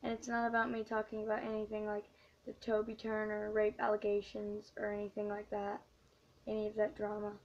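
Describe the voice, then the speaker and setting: Hushed hoarse teen girl, flat delivery. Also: mid-range voice, muffled recording. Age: teens. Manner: hushed, halting, many fillers. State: hoarse and quiet. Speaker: YouTuber. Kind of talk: late-night confessional vlog.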